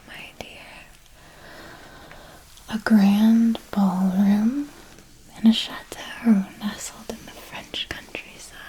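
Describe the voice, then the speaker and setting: A woman playing an elegant, seductive vampire mentor in an ASMR roleplay, speaking in a soft breathy whisper with formal, deliberate, intimate phrasing. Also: medium pitch, low-quality recording. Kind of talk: ASMR roleplay, scripted monologue.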